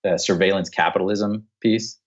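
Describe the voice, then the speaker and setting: A man's voice: low voice, fairly even intonation, normal speed, lo-fi sound. A man chatting casually as a guest on a podcast. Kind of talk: casual chat.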